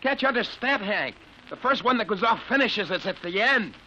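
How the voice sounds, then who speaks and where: A man's voice: high-pitched, lively intonation, brisk, sharp tone, full character voice. A man playing a desperate, intense test pilot frantic to avert doomsday, in a 1950s radio drama. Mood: frantic, pleading. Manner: intense, insistent. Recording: muffled, hissy old radio broadcast.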